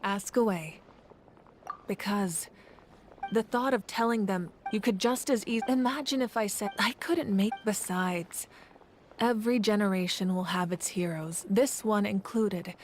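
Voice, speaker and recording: A woman's voice: medium pitch, a little slow, slight background noise, lightly acted. Composed, commanding war leader played by a woman. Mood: solemn, resolute. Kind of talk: video game voice acting. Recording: faint music behind.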